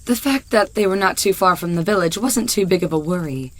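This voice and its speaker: Lowish-voiced woman narrating a story. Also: animated, fairly quick, dry recording.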